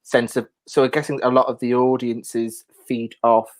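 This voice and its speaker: A man's voice: mid-range voice, little pitch movement, normal speed. Male interviewer, medium register, informal conversational hosting. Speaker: host, interviewer. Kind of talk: casual interview.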